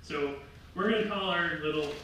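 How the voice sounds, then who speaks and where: A middle-aged man with a loud voice gives a casual, rambling talk with slides to a seated audience, often correcting himself. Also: on the high side, moderate pace. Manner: rambling, self-correcting, light laughs. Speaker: lecture presenter. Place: large room with seated audience. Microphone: far camera. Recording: echoey hall from far off, faint noise.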